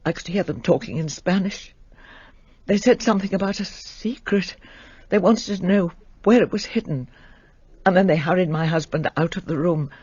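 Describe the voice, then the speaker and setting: An actress playing a composed, grieving middle-aged widow who speaks quietly and with restraint. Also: female voice, fairly low pitch, normal speed, slightly rough, dry recording, muffled recording. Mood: subdued. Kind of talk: radio drama acting.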